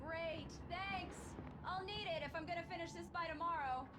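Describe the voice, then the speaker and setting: A woman's voice: very high-pitched, moderate pace. A woman playing an affectionate, teasing wife in a video game, with an intimate, natural delivery. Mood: playful. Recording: music under the voice. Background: background music.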